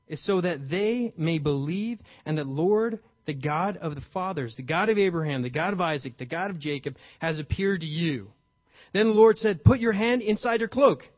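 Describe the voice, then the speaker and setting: A young man preaching with a high, bright-edged voice, pushing into sudden bursts of emphasis and playful acted-out lines. The recording is dry and a little dull, as if captured without much room sound on modest gear. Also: no echo. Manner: animated, acts out paraphrased dialogue with playful emphasis. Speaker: pastor. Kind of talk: sermon.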